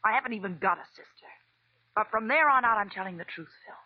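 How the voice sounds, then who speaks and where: A woman's voice: medium pitch, fast talker, natural voice. An actress playing a snappy, hard-edged, defiant young gangster's girlfriend in a 1940s radio drama. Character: brassy, defiant woman, counterfeiter's jilted girlfriend. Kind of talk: 1940s radio noir acting. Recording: muffled old radio recording.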